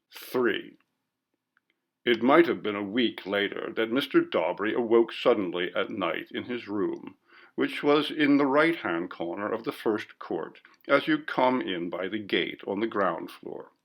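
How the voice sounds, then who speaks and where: A man narrating a classic ghost story in a measured, literary storytelling manner. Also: fairly low pitch, a bit bright. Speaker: audiobook narrator.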